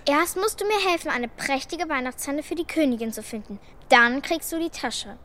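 High-voiced, stubborn orphan girl. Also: animated, normal speed, a bit bright, slight background noise. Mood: bossy, picky. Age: child figure. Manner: blunt, defiant, bossy. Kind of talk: children's radio play acting.

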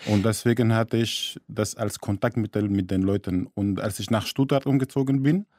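A man's voice: on the low side, steady tone, normal speed, darkish tone, dry recording, studio quality. A man from Syria, a radio guest, explaining things carefully with small slips in his German. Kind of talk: radio interview.

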